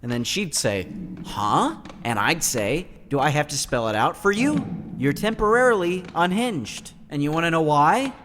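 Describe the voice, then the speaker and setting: A man's voice: a little high, sing-song, moderate pace, a bit bright, in a large hall, a little hiss or hum. A man playing a cynical, wary teenage boy in a comic dub, with a sardonic, wordy, exasperated delivery. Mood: sardonic, musing. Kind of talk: comic dub narration and dialogue.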